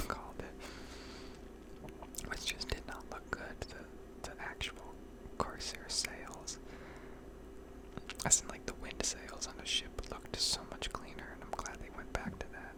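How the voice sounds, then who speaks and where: A woman whispering a casual, rambling ASMR narration over gameplay, with hesitant pauses. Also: low-quality recording. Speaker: video game streamer narrating gameplay.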